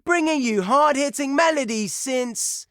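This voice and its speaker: An actor playing a brash, excitable heavy metal radio DJ in a video game, announcing songs and listener requests in a punchy, showy style. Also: male voice, very high-pitched, a little slow, dry recording, clean studio sound. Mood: upbeat.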